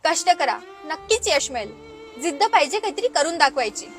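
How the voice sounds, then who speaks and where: Casting: bossy policewoman passenger played by a woman, extremely high voice, assertive questioning, cartoon comedy over loud music. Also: sing-song, fairly quick. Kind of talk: comic cartoon dialogue.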